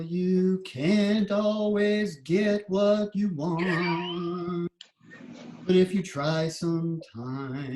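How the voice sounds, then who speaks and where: A woman playing a kindly, clever male court jester in a storybook readers theater, with playful, drawn-out phrasing. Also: lowish voice, warm tone. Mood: musing. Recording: roomy echo, some background noise.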